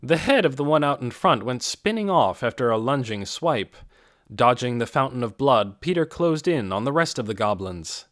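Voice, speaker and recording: A man's voice: medium pitch, big pitch swings, moderate pace, a bit bright, dry recording, studio quality. A man reading a fantasy audiobook as dramatic scripted narration.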